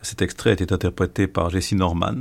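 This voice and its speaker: A male radio host in the studio, with a very deep voice and a gravelly texture. He introduces recordings and asks probing questions, often cutting in on his guest. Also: level tone, fast talker. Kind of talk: radio interview hosting.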